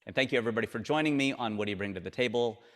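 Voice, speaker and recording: A man's voice: mid-range voice, racing, fairly bright tone. A man hosting an interview in an effusive, appreciative way. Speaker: interview show host. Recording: long reverberant room echo.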